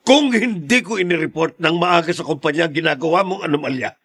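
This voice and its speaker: An actor playing a weary middle-aged father burdened by a family secret, speaking gravely and insistently with a husky, gravelly voice. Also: on the high side, quick pace, a bit bright. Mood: angry. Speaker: father figure named Mariano. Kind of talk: radio-style drama acting.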